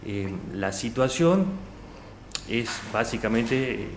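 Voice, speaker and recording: A man's voice: mid-range voice, lively intonation, slow. A man in his thirties from Salta, Argentina, answering a formal interview panel, hesitant, with many fillers and restarts. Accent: Argentine Spanish, Salta. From Argentina, Salta province. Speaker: job candidate. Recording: echoey room, faint noise.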